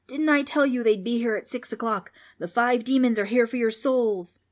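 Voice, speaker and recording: A woman's voice: lowish voice, brisk, close to an everyday voice. A woman storyteller voicing a mean old ghost in a children's tale, mocking, sarcastic and world-weary. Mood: mocking, gloating.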